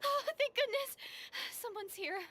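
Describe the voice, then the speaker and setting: Game heroine in distress: extremely high girlish voice, earnest, hesitant, flustered delivery. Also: unhurried, bright, forceful tone, heavily acted. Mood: panicked, pleading. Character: young girl in peril, flustered, earnest, shy. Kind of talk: animated fantasy game voice acting.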